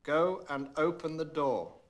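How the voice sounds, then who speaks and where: A man playing a stern, principled, fatherly king in a children's storybook, speaking with measured authority. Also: fairly high pitch.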